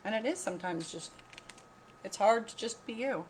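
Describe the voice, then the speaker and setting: A woman reading a picture book aloud like a teacher, pausing to explain, ask questions and repeat key points. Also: a little high, expressive, darkish tone, a little hiss or hum, poor audio. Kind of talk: read-aloud storytelling with explanatory asides.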